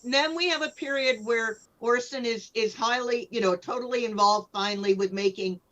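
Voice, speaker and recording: A woman speaking as a panelist in a casual discussion, halting as she searches for words, with lo-fi sound. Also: fairly high pitch, a bit bright. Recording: dull lo-fi sound.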